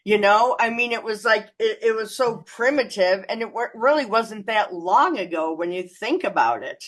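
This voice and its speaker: A woman's voice: mid-range voice, expressive, moderate pace. An American woman hosting an interview, chatty and effusive, with lots of fillers and laughter in her talk. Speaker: interview host. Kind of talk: casual interview chat.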